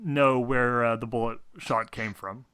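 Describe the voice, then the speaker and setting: A man runs a tabletop game as its game master. He improvises narration casually, with a halting, hedging delivery, in a dry-sounding recording. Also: medium pitch, steady tone, fairly bright tone, smooth, no room sound.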